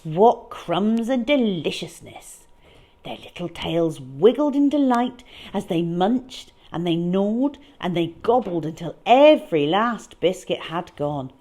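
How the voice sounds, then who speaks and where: A woman reading a picture book aloud as a children's storyteller, with dramatic, deliberate delivery and emphatic stresses. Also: fairly low pitch, expressive, warm tone.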